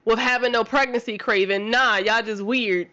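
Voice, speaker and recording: A woman's voice: on the high side, fairly even intonation, moderate pace, sharp tone, dry recording. Blunt woman on a dull, dry mic. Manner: blunt, pushes back, drawls her words. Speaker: podcast guest. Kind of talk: casual chat.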